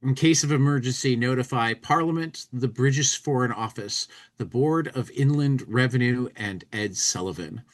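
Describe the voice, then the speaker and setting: A man's voice: medium pitch, normal speed, few pauses. A man co-hosting a podcast, talking casually and reading humor material aloud, often repeating words as he goes. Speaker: podcast co-host. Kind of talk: casual commentary with dramatic reading from a humor magazine.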